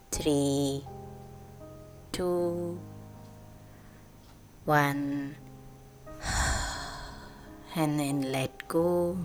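A woman guides a sleep meditation in a low voice. She speaks extremely slowly and soothingly, leaving deliberate pauses between short phrases. Music plays softly behind her. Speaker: meditation guide, podcast host. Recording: voice over background music.

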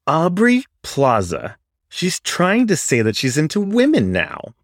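A man's voice: a little high, wildly swooping pitch, measured pace, dry recording, studio quality, voice pushed up. A man voicing an argumentative, self-righteous male student in a story, emphatic and dismissive. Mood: insistent. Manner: emphatic, griping, insistent.

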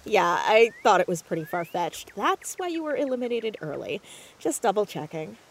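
A woman's voice: medium pitch, very animated, normal speed. A young woman playing an earnest, inquisitive teenage girl sleuth in a naturalistic audio drama, chatty and stammering when flustered. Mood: casual, upbeat.

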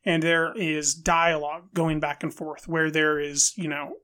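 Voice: mid-range voice, flat delivery, a little slow, pushed and bright. A film podcast co-host in an analytical discussion, building wordy arguments with hesitant, repeated words.

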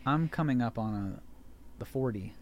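A man's voice: medium pitch, expressive, moderate pace, dull audio, slight background noise. A man in his mid-thirties hosting a podcast, talking in a rambling, chatty way that keeps circling back and correcting itself. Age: 30s. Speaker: podcast host. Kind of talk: casual chat.

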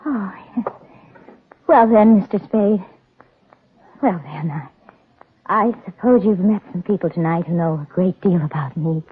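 A woman acting a fragile, lonely rich young woman who is dazed and unravelling, halting and stammering in 1940s radio style. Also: fairly low pitch, husky. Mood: dazed, wistful. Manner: halting, stammering, repeating words. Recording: thin narrow-band old radio recording.

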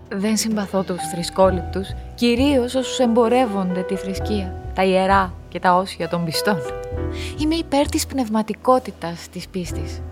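A woman's voice: medium pitch, lightly acted. An actress playing a cultured, reserved woman, speaking in a composed, earnest way in radio play dialogue.

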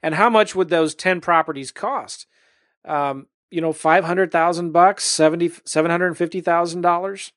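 A man's voice: on the high side, moderate pace, fairly bright tone, dry recording, clean studio sound. A man hosting an interview, chatting casually and tossing in tag questions. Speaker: podcast host.

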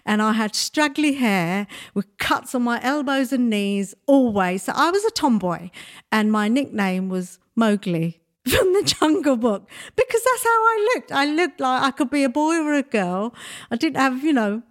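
A woman's voice: sits high, normal speed. Woman in her sixties, grew up in nigeria, bengali first language, chatty storyteller, laughs a lot. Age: 60s. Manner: lively storyteller, laughs often. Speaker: guest. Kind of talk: casual interview chat.

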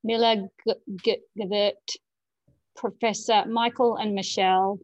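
A woman co-hosting an online session over a video call, hesitant and filling pauses with ums while she manages the group. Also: mid-range voice, steady tone, no echo. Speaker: co-host managing breakout rooms. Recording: dull lo-fi call audio.

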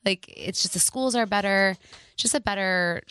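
A woman's voice: mid-range voice, moderate pace, fairly bright tone, clean tone, no room sound, studio quality. A woman in her thirties from the American Midwest, a country singer guesting on a radio show, talking in a bubbly way and laughing often. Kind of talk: casual interview chat. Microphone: studio microphone.

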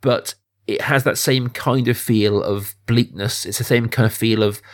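A man's voice: medium pitch, steady tone, normal speed. A man in his thirties hosting a podcast, discussing films casually and thinking aloud with qualifying asides. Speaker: podcast host.

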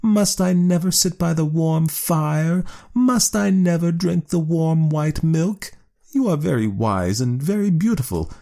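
A man voicing a proud, sly wild cat in a storybook, drawing out his words slowly. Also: a little high, expressive, gravelly, warm tone, voice pushed up. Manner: slow, drawn-out and sly.

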